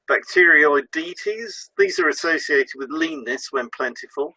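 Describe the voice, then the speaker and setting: A man's voice: fairly high pitch, bright, forceful tone, dry recording, low-quality recording. A man presenting a scripted educational talk as a narrator.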